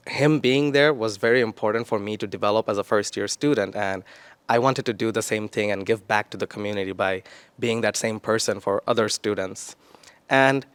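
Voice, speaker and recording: Young male student TA, English his second language, low smooth voice, measured answers with ums. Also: no echo. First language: not English. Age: young adult. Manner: thoughtful, with fillers and self-corrections. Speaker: senior teaching assistant. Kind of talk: interview answer.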